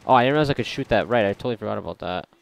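A man giving casual, reactive commentary while gaming, sarcastic and foul-mouthed, with a gravelly voice. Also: on the low side, expressive, very fast. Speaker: gamer recording a playthrough. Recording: noisy, game gunfire behind voice. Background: video game gunfire and ambience.

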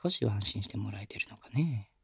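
A man playing a young boyfriend who coaxes and pampers his partner, talking in rough casual slang softened with gentle whispers, recorded close on a binaural mic. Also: fairly low pitch, expressive, brisk, full character voice. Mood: tender, teasing. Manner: soft close whispers at times. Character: young male boyfriend, rough-spoken but doting caretaker. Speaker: voice actor. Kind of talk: Japanese girlfriend-experience roleplay audio drama.

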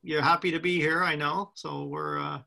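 A man in his sixties hosts an interview over a webcam video call from a room at home. His voice is fairly high, gravelly and bright. He talks in a chatty, friendly way, with plenty of fillers. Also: fairly even intonation, moderate pace. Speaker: host.

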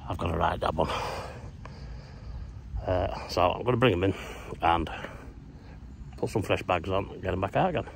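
A man's voice: low voice, moderate pace, long pauses. A middle-aged man chatting casually to his phone camera by a lake, plain-spoken and often trailing off with an "uh". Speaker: angler vlogger. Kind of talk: casual fishing vlog to camera. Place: outdoors by a lake, and inside a fishing shelter at night. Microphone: phone held at arm's length. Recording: outdoor background noise clearly audible.

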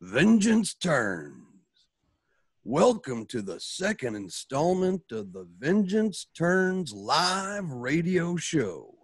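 A man playing the announcer of a Western radio serial, narrating theatrically and deliberately with swelling emphasis. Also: on the high side, very animated, breathy edge, no echo, clean studio sound, full character voice. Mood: grand, dramatic. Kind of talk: scripted radio drama narration.

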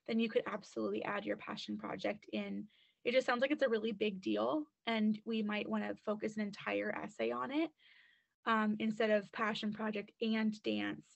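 A woman's voice: on the high side, creaky. A woman college admissions counselor answering questions on a webinar through a computer mic, chatty and full of fillers.

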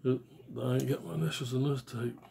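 An American man in his forties talks casually in a small room at home. His voice sits at an average pitch, and his delivery is blunt, slangy and profane. The phone recording carries faint noise and clicks. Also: steady tone, brisk, creaky, mellow. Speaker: music fan reviewing albums on video. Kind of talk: casual unscripted vlog chat. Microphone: phone or tablet at arm's length.

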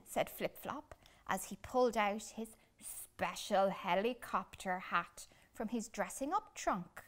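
A woman reads a children's picture book expressively, with deliberate dramatic pauses. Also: medium pitch. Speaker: children's storytime reader. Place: small furnished room indoors.

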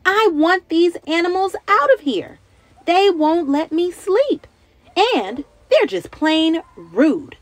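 A woman's voice: very high-pitched, sing-song, slow, dry recording, pitched above the performer's own voice, heavily acted. A woman voicing an indignant, fed-up little girl in a children's storybook, complaining emphatically. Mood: exasperated, indignant.

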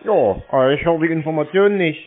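A man answering cold calls in casual, terse, deadpan stonewalling replies. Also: on the high side, fast. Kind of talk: casual phone talk. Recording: thin, band-limited phone call sound.

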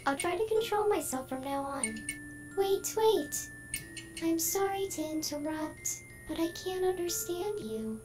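A woman's voice: extremely high pitch, animated, a little slow. A synthetic-sounding female voice playing a lonely AI trapped inside a computer in a game, polite, earnest and oddly emotional. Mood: anxious. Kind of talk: game character dialogue. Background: game music.

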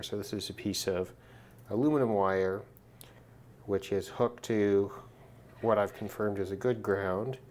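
A man's voice: on the low side, darkish tone. A man presenting an unscripted hands-on demonstration in a casual, matter-of-fact way, thinking aloud as he goes. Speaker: presenter of a radio gear test video. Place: indoors at a work desk.

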